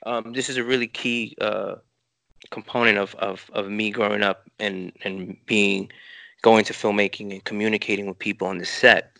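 A man's voice: fairly low pitch, little pitch movement, normal speed, gravelly, sharp tone, no room sound. A middle-aged man with a New York accent, a filmmaker rambling through interview answers with many restarts and "you know" fillers. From New York City. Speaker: filmmaker guest.

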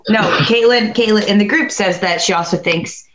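A woman's voice: mid-range voice, moderate pace. A woman co-hosting a casual video-call stream, upbeat and talkative, with fillers like "like". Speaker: livestream co-host. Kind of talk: casual chat about reality TV contestants. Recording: echoey room over video call.

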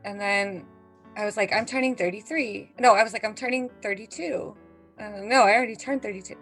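A woman in her thirties chatting casually with friends in an animated, exclaiming way. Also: medium pitch, a little fast, rough recording. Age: 30s. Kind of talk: casual group chat. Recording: music behind the talk.

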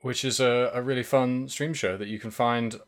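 A man's voice: medium pitch, steady tone, moderate pace. A man co-hosting a casual podcast, rambling loosely, laughing often and trailing off mid-sentence. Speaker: podcast co-host.

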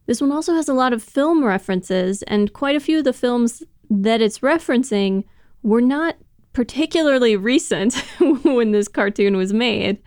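Woman, smooth clear voice, dry close sound, casual informative chat. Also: a little high, expressive, moderate pace, a little mellow, no echo. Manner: informative, laughs lightly while talking.

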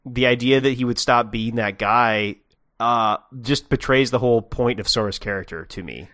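A man's voice: medium pitch, moderate pace, bright, forceful tone, no room sound, studio quality. A man co-hosting a podcast, rambling playfully through his theories in casual conversation. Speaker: podcast co-host.

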